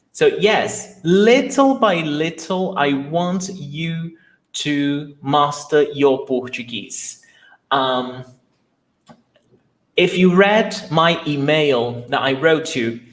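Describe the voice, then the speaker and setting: A man from Brazil with a Brazilian accent, a Portuguese teacher running a live online lesson, greeting viewers by name with enthusiasm. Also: a little high.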